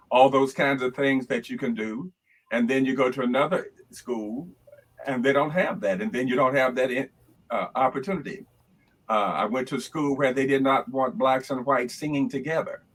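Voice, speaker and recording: A man's voice: medium pitch, normal speed, fairly bright tone. An older man and choral conductor in casual chat, reflective, often repeating words as he gathers his thoughts.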